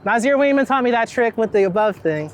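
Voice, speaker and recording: A man's voice: very high-pitched, expressive, fairly quick. A man talks casually as he walks through a city. He is reflective and rambling, often dropping a thought halfway to start another. Light urban noise and passing vehicles sit behind his voice. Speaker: street photographer being interviewed. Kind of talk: casual conversation while out walking. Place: outdoors on a busy city street. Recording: outdoor city street noise, traffic.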